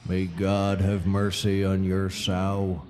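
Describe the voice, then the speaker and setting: A man acts out a folksy livestock auctioneer in an audio comedy. His voice sits low, and he rambles on with wordy, sardonic patter over faint music. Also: completely flat delivery, slow. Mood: wry, droll. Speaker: actor in a scripted comedy series. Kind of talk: scripted audio comedy acting.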